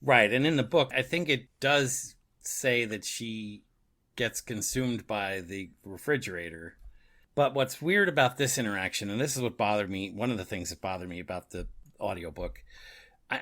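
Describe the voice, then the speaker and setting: A man's voice: mid-range voice, a bit bright. A man co-hosting a podcast, casually discussing a book and its radio adaptation, often chuckling as he talks. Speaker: podcast co-host.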